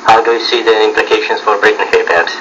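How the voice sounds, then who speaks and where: A man's voice: on the low side, steady tone, quick pace, a bit bright. A man working as a radio interviewer, putting formal questions on an old shortwave broadcast. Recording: dull, hissy 1980s shortwave radio broadcast.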